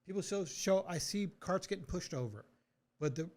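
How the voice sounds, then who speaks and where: A man hosting a casual interview in a voice that sits somewhat high. He speaks frankly and states his opinions outright, with some casual swearing. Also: expressive, normal speed, a little mellow. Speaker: podcast host.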